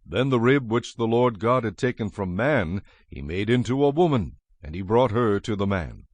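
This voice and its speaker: A man narrating dramatized scripture in a solemn, measured manner. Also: on the low side, lively intonation, no room sound. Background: music.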